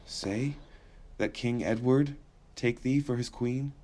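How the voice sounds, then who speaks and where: A man's voice: mid-range voice, slow, a little mellow, a little hiss or hum, close to an everyday voice. An actor playing a lusty, commanding bachelor king, teasing and imperious in theatrical Shakespearean dialogue. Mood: insistent wooing.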